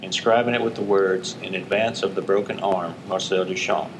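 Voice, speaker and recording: A man's voice: low voice, steady tone, normal speed, slight background noise, poor audio. A man reading scripted educational narration in the role of narrator.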